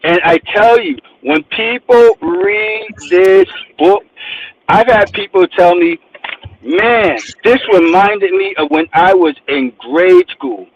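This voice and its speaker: A man's voice: high voice, animated, slow pace, dull audio, a little hiss or hum. A man from New Jersey, a guest author, telling stories with emphasis and acting out the things people say to him. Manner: emphatic storyteller, acts out quotes. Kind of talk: animated interview talk.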